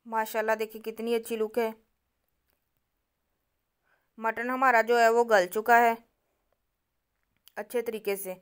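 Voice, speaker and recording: A woman talks viewers through a recipe, one step at a time. Her voice sits a little high and stays fairly even, with a smooth, clear tone. She explains each step calmly, like a patient cooking host. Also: unhurried, pauses often. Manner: calm, instructive, addresses viewers directly.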